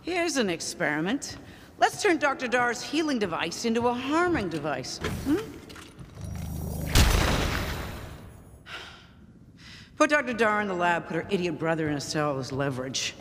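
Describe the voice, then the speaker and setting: An actress playing a ruthless, power-hungry villain boss in a video game, with a theatrical, imperious and gloating delivery. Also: female voice, mid-range voice, sing-song, brisk, long reverb tail, noisy background, natural voice. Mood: cold, dismissive. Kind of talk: video game cutscene acting.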